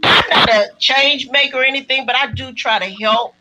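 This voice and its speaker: A woman in her fifties hosting a casual video call chat, animated and given to exclaiming. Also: high voice, normal speed, poor audio. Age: 50s. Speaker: host.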